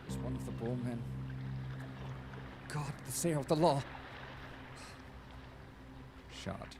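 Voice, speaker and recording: An actor playing a rational, indignant gentleman in distress in a live radio drama, exclaiming and stammering. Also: medium pitch, normal speed, natural voice. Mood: dazed, horrified. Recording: live stage recording, loud music behind.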